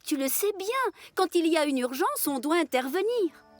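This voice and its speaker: Very high, lilting cartoon fire engine. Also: female voice, big pitch swings, normal speed, no room sound. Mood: friendly, reassuring. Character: kind, dutiful female fire engine. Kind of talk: children's cartoon dubbing.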